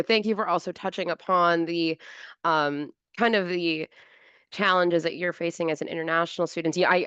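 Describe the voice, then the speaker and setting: A woman's voice: on the low side, fairly bright tone, smooth, no room sound. A woman moderating a virtual career panel, friendly and chatty, joking easily with the panelists. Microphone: video call. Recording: dull, dry video call audio.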